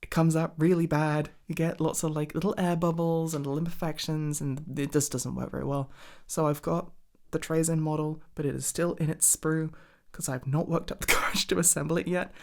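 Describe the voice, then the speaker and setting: A woman's voice: sits low, fairly even intonation, fairly quick. A woman rambling casually in an ASMR chat, enthusiastic and sweary, with hesitant, meandering delivery. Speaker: hobby ASMR content creator.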